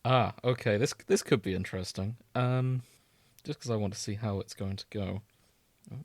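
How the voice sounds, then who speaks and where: A man with a fairly low voice hosts a podcast. He talks casually and jokily, as if among friends. The sound is close and dry, with very little room on it. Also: steady tone, moderate pace, dry recording. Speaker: podcast host. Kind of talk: casual comedic chat.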